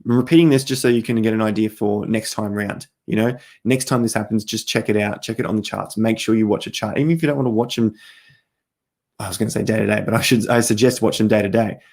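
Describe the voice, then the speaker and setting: Hoarse man, gravelly low voice, repeats points emphatically, live chart talk. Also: steady tone, fast talker, darkish tone. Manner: repetitive, emphatic, talking through charts. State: losing his voice, coughing. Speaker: crypto trading livestream host. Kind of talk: live-stream chart analysis.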